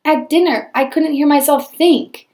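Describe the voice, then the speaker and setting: A woman acts out a snobbish stepmother telling her side of a storybook tale. She is theatrical and exasperated, forever sorry for herself, in a high voice. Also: a little slow, a little mellow. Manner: theatrical, put-upon.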